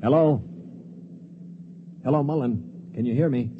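An actor playing a cynical, commanding space prisoner who also narrates, in a 1950s radio drama. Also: male voice, medium pitch, unhurried, a little hiss or hum, close to an everyday voice. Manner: brusque, commanding. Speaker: narrator and lead. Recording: dull old radio broadcast.

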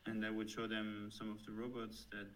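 Low flat German-accented man. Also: slight background noise, poor audio. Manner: thoughtful, halting with fillers and restarts. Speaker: software developer guest. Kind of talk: interview answers.

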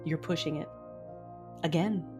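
A woman's voice: sits low, a little slow. Brooding, guarded Sith noblewoman over music. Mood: guarded, defiant. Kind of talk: first-person audio drama narration and dialogue. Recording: music behind the voice.

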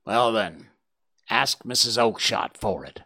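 A man voicing a surly, impatient market stall salesman in an audiobook story, blustering and shouting. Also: on the low side, lively intonation, slow pace, heavily acted. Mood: angry, blustering.

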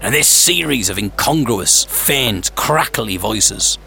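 A man hosting a podcast, narrating a scripted story with theatrical suspense, pauses and wry humour. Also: lowish voice, lively intonation, creaky. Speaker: podcast host. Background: music.

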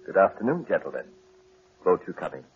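A deep, pompous, solemn undertaker. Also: male voice, very animated, fairly quick, narrow, tinny audio, slight background noise. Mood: unctuous, solemn. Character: pompous, unctuous male undertaker, solemn and easily affronted. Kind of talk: 1940s radio acting.

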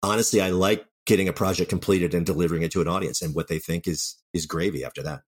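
A man's voice: deep voice, steady tone, fast, slightly rough. A man talking casually and candidly as an interview guest, with a self-deprecating way about him. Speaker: writer-director of audio dramas, interview guest.

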